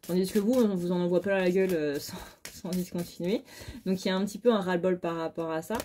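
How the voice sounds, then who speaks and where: A woman's voice: on the low side, fast, warm tone, slight background noise. A very tired woman giving a casual, chatty tarot reading, speaking informally and bluntly with mild swearing. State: very tired, short of sleep. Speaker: tarot card reader.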